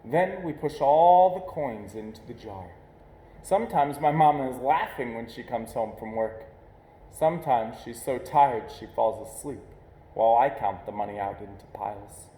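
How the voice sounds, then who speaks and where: A man reading a children's picture book aloud in an expressive storytelling style, pausing deliberately for emphasis. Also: medium pitch. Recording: echoey, roomy sound.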